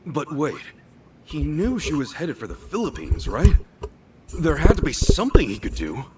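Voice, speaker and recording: Earnest man with a lively, swinging pitch. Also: moderate pace, a little hiss or hum. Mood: shaken, urgent. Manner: hesitant, questioning, earnest. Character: earnest, emotional man learning his family's secret. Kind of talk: dramatic video game cutscene acting. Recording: game audio with loud background music. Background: loud cinematic music.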